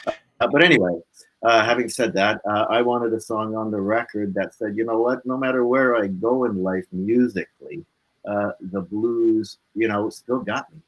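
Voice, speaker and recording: A man's voice: on the low side, fairly even intonation, normal speed, poor audio. A man speaking as a musician guest in a casual live interview, with informal, laid-back phrasing.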